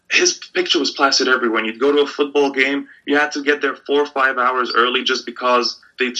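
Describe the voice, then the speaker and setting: A man raised in Egypt talks through a discussion with a keen, very bright and forceful tone. He restarts his sentences often but lands his points firmly. The audio is dull and lo-fi. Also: medium pitch. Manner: thoughtful, restarts often, emphatic at times. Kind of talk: conversational discussion. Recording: dull lo-fi sound.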